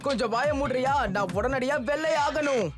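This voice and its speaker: A woman's voice: high-pitched, moderate pace, natural voice. A woman voicing a vain, touchy boy gang boss in a children's cartoon, bossy and blustering. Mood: self-important. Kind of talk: children's cartoon dub acting. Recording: loud music under the voice.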